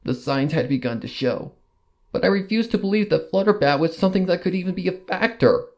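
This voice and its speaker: A man reading a first-person story aloud with dramatic, halting delivery. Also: sits high, lively intonation, a little mellow. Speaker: fan fiction reader. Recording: music behind the voice. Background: background music.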